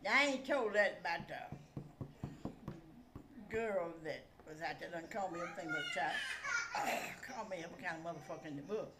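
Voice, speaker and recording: A woman's voice: fairly low pitch, huge pitch swings, brisk, echoey room, very noisy. An older woman tells stories in casual, rambling conversation, trailing off mid-thought. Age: older, grandmother. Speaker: grandmother telling stories. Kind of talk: casual storytelling chat.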